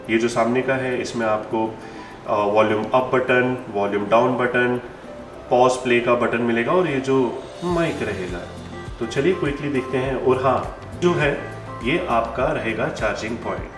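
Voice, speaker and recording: Male Indian tutorial presenter, mid-pitched, conversational and instructive, roomy echo, music clearly behind. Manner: instructive, repeats key points, mixes in English terms. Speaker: store presenter demonstrating helmet intercom installation. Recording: echoey room with music behind.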